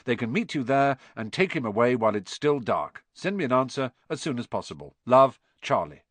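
Friendly practical young letter writer, read by a male narrator, animated storybook delivery, close dry sound. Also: mid-range voice, fairly quick, no echo. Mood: brisk, friendly.

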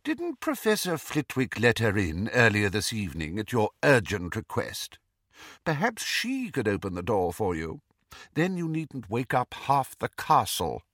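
A man's voice: lowish voice, huge pitch swings, measured pace, a bit bright, no echo, studio quality, full character voice. A man voicing a stern, dignified female professor of witchcraft, precise, formal and icily impatient, in an audiobook. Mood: politely pointed.

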